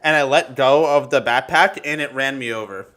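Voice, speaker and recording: A man's voice: medium pitch, normal speed, pushed and bright. A man in casual podcast chat, telling sweary anecdotes and repeating phrases for emphasis. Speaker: podcast speaker.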